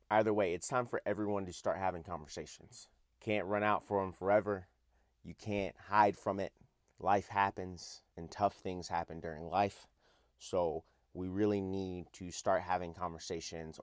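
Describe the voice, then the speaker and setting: A man with a raspy, somewhat hoarse voice hosts a solo finance podcast. His voice sits very low, and he talks earnestly and conversationally, often putting questions straight to the listener. The recording is dry and close, with no room sound. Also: no echo. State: hoarse after a night out.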